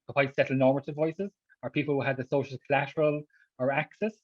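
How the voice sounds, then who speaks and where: A man speaks quickly and earnestly on an online panel and restarts his phrases as he goes. His voice comes through dry and a little muffled. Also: mid-range voice, steady tone, a little mellow, dry recording. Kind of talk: panel discussion. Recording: dull, dry home audio.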